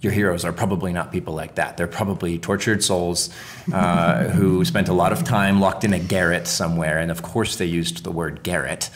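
A man's voice: deep voice, steady tone, moderate pace. A man co-hosting a podcast in a reflective, conversational style. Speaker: podcast co-host.

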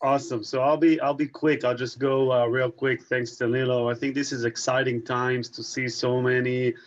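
A man from Israel in his thirties, a neurosurgery fellow giving a webinar talk with slides, halting with many restarts and fillers. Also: medium pitch, little pitch movement. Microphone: video call. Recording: slightly dull video call audio.

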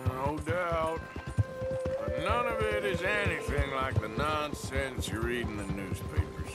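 A gruff Old West outlaw from a video game, acted by a man, speaking in an American Western drawl. He is weary and sardonic, plain-spoken but protective. Music plays prominently behind him. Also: on the high side, unhurried, natural voice. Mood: wry. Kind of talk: video game dramatic acting. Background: music, horse hooves.

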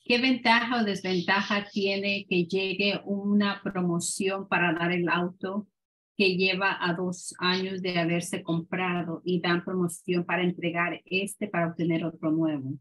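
A woman with a somewhat low voice reads out audience questions during an online workshop. She speaks plainly over a video call. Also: level tone, measured pace, fairly bright tone, poor audio. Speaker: participant relaying questions.

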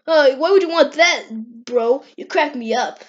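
A woman's voice: high voice, measured pace, dull audio. A woman voicing a brash young male hedgehog, a worried best friend, in an amateur comic dub.

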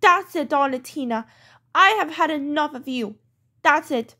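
A woman's voice: very high-pitched, a little slow. A woman playing a strict mother who lays down rules in a firm, scolding way, in a homemade pretend-play skit. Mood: angry.

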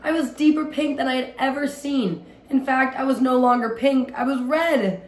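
A woman's voice: high-pitched, steady tone, normal speed, darkish tone. A young adult woman reading a children's story aloud expressively, recorded indoors at home a little way from the microphone. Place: small indoor room at home. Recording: roomy echo, light background noise.

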